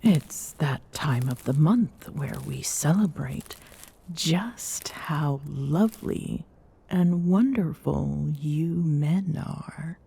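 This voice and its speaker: A towering Amazonian queen played by a woman in an ASMR audio roleplay, with a lowish, breathy voice. She is bossy and teasing yet tenderly smitten, and her unhurried delivery often softens toward a whisper. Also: lively intonation, exaggerated voice. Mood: teasing, affectionate. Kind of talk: intimate ASMR roleplay acting.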